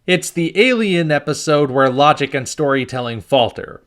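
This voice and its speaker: A man's voice: on the high side, expressive, moderate pace, fairly bright tone. A man reading a scripted review as host, with a wry, critical manner.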